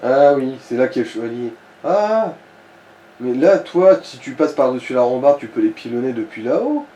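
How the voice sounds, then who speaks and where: A man's voice: medium pitch, expressive, moderate pace, dark tone. A man chatting casually as he co-commentates a video game, explaining things and slipping in joking asides. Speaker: let's play co-commentator. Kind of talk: casual gaming chat. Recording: roomy echo, background noise.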